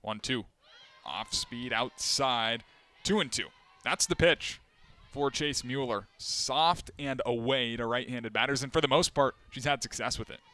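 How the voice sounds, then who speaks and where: A young man calling live games as a play-by-play announcer, steady between plays and climbing sharply in pitch and volume on big moments. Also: a little slow. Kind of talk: live sports play-by-play commentary.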